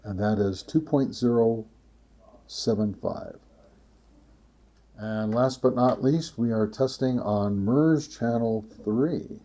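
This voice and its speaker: A man with a soft, mellow voice of middling pitch presents a hands-on test. He talks casually but methodically, with halting pauses, and reads out figures deliberately. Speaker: video host, radio reviewer. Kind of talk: casual product test narration.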